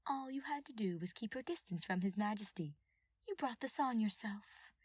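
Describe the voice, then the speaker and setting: A woman voicing a smug, mocking female royal advisor who gloats in a drawn-out, scheming way in an audiobook-style story. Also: fairly high pitch, expressive, slightly rough, no echo, pitched above the performer's own voice.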